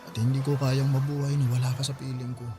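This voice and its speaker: An actor playing a devoted, steadfast husband in a Filipino radio drama, speaking earnestly and pleadingly. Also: male voice, mid-range voice, level tone, quick pace, over music, natural voice.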